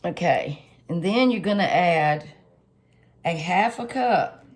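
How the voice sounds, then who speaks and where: Lady, stuffy and a bit hoarse, slow easy cooking talk, lowish voice. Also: expressive. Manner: homey, chatty, lots of fillers, addresses viewers directly. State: congested and a little hoarse. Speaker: home cook channel host. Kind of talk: casual cooking tutorial.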